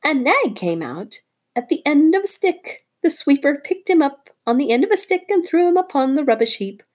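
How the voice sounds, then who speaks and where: A tiny male songbird gushes in a shrill, piping sing-song, as a woman reading a storybook puts him on. His voice leaps very high and swoops all over, boastful and excitable. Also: normal speed. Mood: triumphant.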